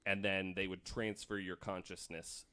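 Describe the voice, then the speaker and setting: A man with a fairly low voice hosts a podcast. He tells researched stories in a casual way, explaining as he goes and pausing between passages he reads from notes. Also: flat delivery, sharp tone, clean tone. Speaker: podcast host.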